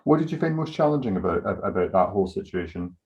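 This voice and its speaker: A man's voice: low-pitched, very animated, fast, a little mellow, muffled recording. A male interviewer asking questions in a hesitant way, restarting before getting to the point.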